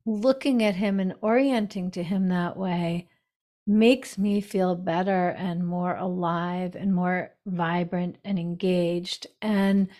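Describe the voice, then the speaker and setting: A middle-aged woman speaks as a guest author in a podcast interview. Her delivery is slow and unhurried, with an even mid pitch. She talks in a reflective, deliberate way.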